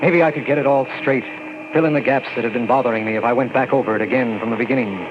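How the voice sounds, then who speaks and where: An actor as a haunted young army officer. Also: male voice, medium pitch, steady tone, fast, lightly acted. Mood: uneasy. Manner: tense first-person storytelling. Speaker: narrator of the story. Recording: noisy lo-fi old radio recording.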